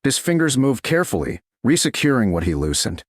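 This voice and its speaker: A man narrating a scripted romance story in a measured, deliberate, dramatic way, phrase by short phrase. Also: medium pitch, big pitch swings. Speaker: audiobook narrator.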